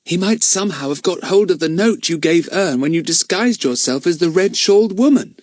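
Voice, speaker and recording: A man's voice: sits high, expressive, normal speed, a little mellow, no room sound, clean studio sound, voice pushed up. A man reading aloud and voicing a sensible girl who explains things reasonably. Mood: reasoning. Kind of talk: audiobook character voice.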